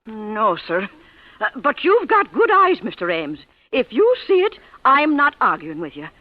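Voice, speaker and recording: An actor playing a deferential, superstitious older fellow with a folksy, hesitant manner in an old radio drama. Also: male voice, very high-pitched, huge pitch swings, measured pace, exaggerated voice. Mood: hesitant, uneasy. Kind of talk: 1940s-50s radio drama acting. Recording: muffled, echoey vintage radio recording.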